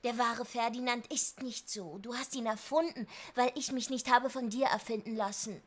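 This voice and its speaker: A woman's voice: a little high, steady tone, normal speed, lightly acted. Biting, resentful daughter played by a woman. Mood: scornful. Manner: biting, accusatory. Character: angry, resentful grown daughter confronting her mother. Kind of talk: German radio drama acting.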